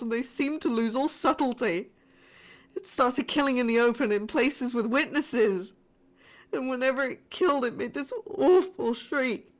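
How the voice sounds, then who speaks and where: A frightened female survivor of a spaceship crew, played by a woman delivers a distress message in a fairly high voice. She holds to formal reporting, yet sounds shaken and halting, in the manner of a sci-fi horror audio drama. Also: natural voice. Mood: shaken, horrified.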